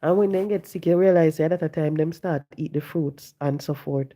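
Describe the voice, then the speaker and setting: A woman, hoarse and congested from the flu, talking on a casual live stream, preaching and repeating her points to viewers from home. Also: sits low, moderate pace, warm tone. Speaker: livestream host. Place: home, sitting.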